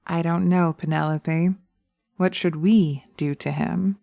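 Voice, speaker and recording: A woman voicing a sharp, practical witch in a roleplay audio drama, teasing and theatrical with a hint of menace. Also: lowish voice, fairly even intonation, creaky. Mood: menacing. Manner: theatrical, teasing, drawn-out words with pet names.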